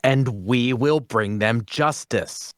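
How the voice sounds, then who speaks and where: Male actor as calm adventuring leader, mid-range voice, measured, placating, dry recording. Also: mid-range voice, dry recording, natural voice. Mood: firm. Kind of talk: fantasy drama table read.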